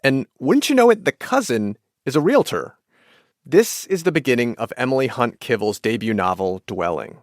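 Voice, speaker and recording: A man's voice: medium pitch, sing-song, moderate pace, dry recording. Man hosting, close and dry. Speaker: radio podcast host and interviewer. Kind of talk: scripted narration and conversational interviewing.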